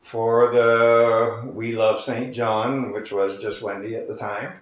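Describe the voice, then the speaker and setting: A man's voice: medium pitch, little pitch movement, slow. A man hosting a casual interview, chatty and asking leading questions. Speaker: host. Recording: roomy echo.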